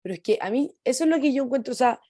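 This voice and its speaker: A young woman on a radio panel, a law student who talks quickly and hesitantly, searching for words. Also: sits high, lively intonation, warm tone, no room sound, clean studio sound. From Chile.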